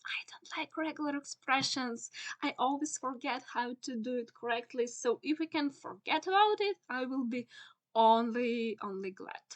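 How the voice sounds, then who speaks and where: A young woman with a Ukrainian accent, a native Ukrainian speaker, walking through a software tutorial in halting English full of "uh"s. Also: a little high. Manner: many filler "uh"s, halting non-native phrasing. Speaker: SEO instructor. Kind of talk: screen-share tutorial walkthrough.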